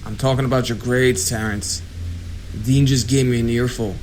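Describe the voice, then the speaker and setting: An actor playing a stern, exasperated college soccer coach in an audio drama. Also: male voice, mid-range voice, steady tone, moderate pace, a little mellow, slight background noise, close to an everyday voice.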